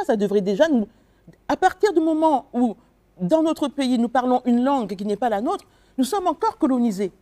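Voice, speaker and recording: A woman's voice: fairly high pitch, warm tone. A woman from the Republic of the Congo, speaking as an author and guest in a radio interview, earnest and emphatic, with frequent hesitations.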